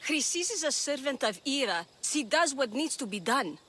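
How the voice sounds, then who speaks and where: A woman's voice: high-pitched, very animated, normal speed, fairly bright tone. An actress playing a devout, fervent young mother and cult believer in a video game, emotional in delivery. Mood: desperate. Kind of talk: dramatic video game acting. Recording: clear outdoor ambience noise behind.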